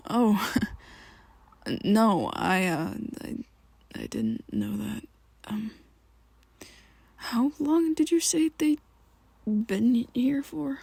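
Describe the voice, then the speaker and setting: A woman in an ASMR roleplay, talking to the listener as a worried best friend, halting and rambling, often sinking into a soft whisper close to the mic. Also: fairly high pitch, animated, gravelly, breathy edge, no room sound. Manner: hesitant and rambling, halting restarts.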